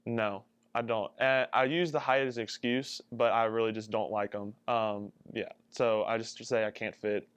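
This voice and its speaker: A man's voice: lowish voice, fairly even intonation, normal speed, few pauses, fairly bright tone, clear, resonant tone, no echo, lo-fi sound. A young man, a college athlete, answering interview questions casually. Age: young adult. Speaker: college basketball player.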